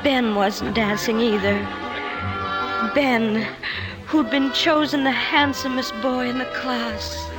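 An actress playing a troubled woman confessing painful memories in an emotive, halting way, in 1950s radio drama style. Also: a little high, fairly even intonation. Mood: hurt, remembering. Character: troubled career woman confiding painful childhood memories to her doctor. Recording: 1950s radio broadcast, music behind.

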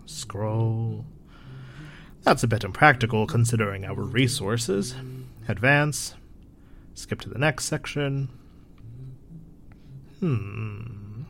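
A man acting a grave, gentle, bookish resistance member in an audio drama, with measured, formal phrasing. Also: fairly low pitch, a little hiss or hum. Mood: musing.

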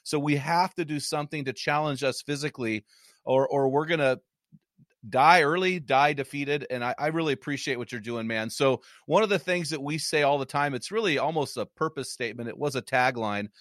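A man hosting an interview, casual and enthusiastic, with quick laughs. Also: medium pitch, fairly bright tone, dry recording, studio quality. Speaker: interview host.

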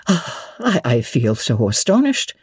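A woman's voice: a bass voice, sing-song, measured pace, breathy edge, dry recording, studio quality, voice pushed down, heavily acted. A woman voicing an elderly widowed housekeeper who is bewildered and cautious, speaking in a hesitant, fretful way in a classic novel reading. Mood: astonished, bewildered. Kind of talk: dramatic audiobook reading of classic literature.

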